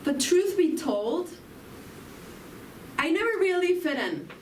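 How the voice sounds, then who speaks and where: A woman with an Argentine Spanish accent giving an inspirational sermon, slow and reflective, asking her listeners questions. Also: extremely high pitch, very animated, darkish tone, a little hiss or hum. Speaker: spiritual talk speaker. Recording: roomy, from far off, faint ambience.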